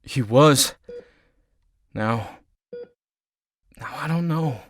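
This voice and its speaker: An actor playing an earnest young male hero, guarded and halting, in an audio drama. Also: medium pitch, dry recording, studio quality. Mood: pained.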